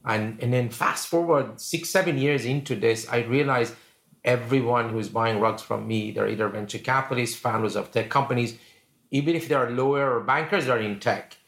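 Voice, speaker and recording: A man's voice: mid-range voice, normal speed, fairly bright tone. A man in his fifties with an Iranian accent, telling personal stories conversationally as a podcast guest. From Iran. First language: Persian. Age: 50s. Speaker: podcast guest, venture capitalist.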